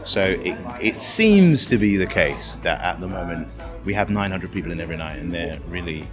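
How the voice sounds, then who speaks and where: A man's voice: deep voice, animated, normal speed. A man chatting casually in an interview, enthusiastic and often searching for his words. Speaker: interviewee from the production. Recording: loud music behind. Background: party music.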